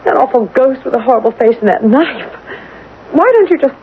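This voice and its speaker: High-pitched, anxious young wife, vintage radio. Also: female voice, animated, fairly quick. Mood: distressed pleading. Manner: nervous, emotional, questioning. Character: frightened young wife in a haunted house, anxious, pleading. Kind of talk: 1970s radio drama acting. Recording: tinny, noisy vintage radio broadcast recording.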